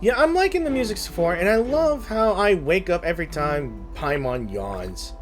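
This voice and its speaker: A man's voice: high-pitched, big pitch swings, moderate pace. A man giving casual gameplay commentary, informally musing to himself. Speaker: gaming video host. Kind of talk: casual gameplay commentary, reading dialogue aloud. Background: faint game music.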